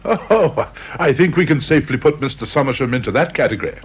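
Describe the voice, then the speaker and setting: A man's voice: medium pitch, animated, fast talker, breathy edge. A man with an English accent playing a flustered, genial committee man in a radio drama, hesitant and anxious to please. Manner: stammering, hesitant, apologetic. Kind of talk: British radio drama acting. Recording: dull, lo-fi radio recording.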